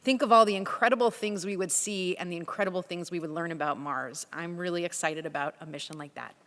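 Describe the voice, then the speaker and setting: A woman's voice: lowish voice, fast talker, a bit bright, long reverb tail, a little hiss or hum. A woman scientist giving an enthusiastic, earnest conference panel talk. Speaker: panel speaker, Mars rover scientist. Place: conference hall.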